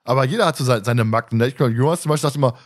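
A man's voice: mid-range voice, very fast. A man in his thirties from Germany co-hosting a podcast in casual, colloquial, teasing chat. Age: 30s. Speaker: podcast host.

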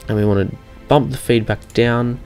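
A man's voice: mid-range voice, normal speed, darkish tone, clean tone. Casual young man narrating over music. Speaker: tutorial host. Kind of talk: casual software screen-recording walkthrough.

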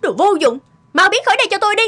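A woman voicing a scornful, selfish young wife in a cartoon, with a sharp scolding manner. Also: very high-pitched, very animated, very fast, faint music behind, heavily acted. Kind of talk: animated drama voice acting.

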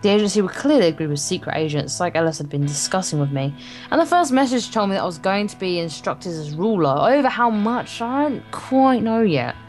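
A woman's voice: mid-range voice, expressive, fairly quick. A woman reading visual novel narration aloud as a let's play co-host, stumbling and correcting herself as she goes. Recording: guitar music behind. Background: game's guitar music.

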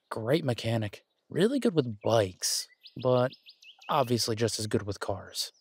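A man's voice: mid-range voice, slightly rough, no room sound. A man playing a caring, easygoing boyfriend in an intimate audio roleplay, talking in a hesitant, reassuring, conversational way. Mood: casual, easygoing. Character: caring, easygoing human boyfriend of a werewolf woman.

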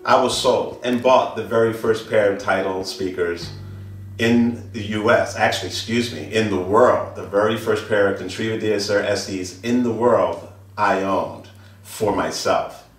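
A man's voice: fairly low pitch, steady tone, measured pace. A middle-aged man presenting products informally as an audio dealer, emphatic and fond of repeating phrases. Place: indoor wood-floored listening studio. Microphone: handheld camera a few meters away. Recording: echoey room, distant camera mic, music behind.

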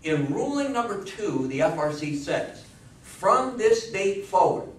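Older man, public lecturer, slightly high-pitched voice, emphatic, folksy, very echoey room. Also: big pitch swings, a little slow. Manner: emphatic, folksy, prompting the audience. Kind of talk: animated public history lecture with audience questions. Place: small meeting room with seated audience. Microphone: distant camera microphone. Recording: echoey room, distant microphone, faint noise.

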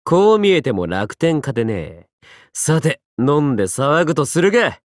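An actor playing a roguish, easygoing young martial artist assassin in an anime mobile game, with a cocky, theatrical swagger. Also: male voice, fairly high pitch, sing-song, normal speed, no echo, clean studio sound. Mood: wry, resigned.

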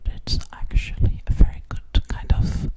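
A young woman doing ASMR personal attention in a breathy, soothing whisper, very close to the microphone, often repeating soft words. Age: young adult. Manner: slow, soothing, repeating words and mouth sounds. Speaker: ASMR host. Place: small room, indoors. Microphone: close-up microphone right at the mouth.

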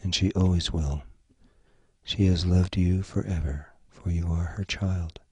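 A man leading a guided meditation, giving gentle, soothing instructions in short phrases. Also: low voice, steady tone, measured pace, husky, dry recording. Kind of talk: slow guided meditation narration.

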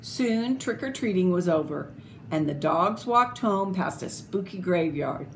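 Older lady reading a kids book out loud, takes her time, noticeable background noise. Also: fairly low pitch, lively intonation. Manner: slow, deliberate, theatrical phrasing. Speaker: storyteller reading picture books aloud. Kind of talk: expressive children's storybook read-aloud with asides. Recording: clearly noisy home video recording.

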